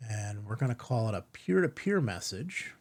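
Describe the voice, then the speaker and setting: A man hosting a casual step-by-step software tutorial, explaining as he goes and often checking in with the listener. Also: on the low side, big pitch swings, moderate pace. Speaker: tutorial host.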